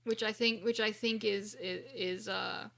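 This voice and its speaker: A middle-aged woman co-hosts a casual talk podcast. Her voice has a marked fry at a medium pitch. She speaks haltingly, circling back over her words. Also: fairly even intonation, fairly bright tone. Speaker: podcast co-host. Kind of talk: casual chat.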